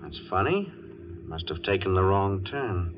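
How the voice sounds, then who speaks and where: A man's voice: low-pitched, big pitch swings, a little slow. An actor playing a coolly menacing killer at the wheel in a 1940s radio thriller, with clipped, offhand tough-guy delivery. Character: menacing male killer driver, coolly casual. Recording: muffled old radio broadcast, faint noise.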